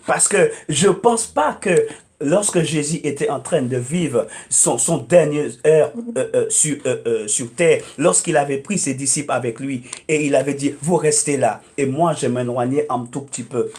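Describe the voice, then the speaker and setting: A man's voice: medium pitch, normal speed, a little mellow. A man whose first language is English, speaking as a guest preacher on a talk show, emphatic and repetitive, with frequent hesitant "euh"s. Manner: emphatic, repeats phrases, many hesitant "euh"s.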